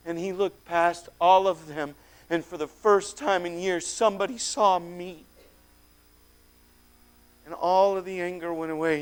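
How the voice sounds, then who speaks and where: Brief: high, smooth voice; a man as a once-possessed man, haunted and fervent, hushed to shouting. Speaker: dramatic monologue performer. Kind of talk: first-person dramatic monologue.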